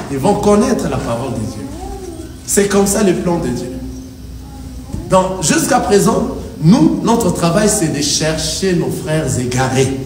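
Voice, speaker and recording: A man preaching a sermon as pastor, emphatic and rhythmic, with the congregation answering. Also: fairly high pitch. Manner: emphatic preaching with call-and-response. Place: church hall. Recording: echoey hall, distant mic, faint noise. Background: congregation answering amen.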